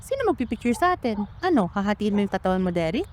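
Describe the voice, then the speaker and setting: A woman's voice: medium pitch, sing-song, quick pace, a little mellow, lightly acted. A woman with a Filipino accent playing a doting but careless, teasing mother in a radio drama. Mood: impatient, nagging. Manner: chatty, playful, nagging. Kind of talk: radio drama acting in casual family banter.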